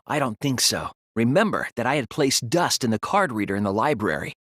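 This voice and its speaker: A man voicing an earnest, analytical teenage boy detective in an English video game dub, speaking in a deliberate, resolute way. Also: mid-range voice, a bit bright, slightly rough, no echo, studio quality. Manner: deliberate, earnest, explanatory delivery.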